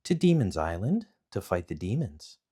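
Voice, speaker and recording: A man's voice: low voice, very animated, normal speed, warm tone, husky, full character voice. A man voicing a brave young hero boy in a storybook, answering plainly and firmly. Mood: steady. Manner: plain, firm replies.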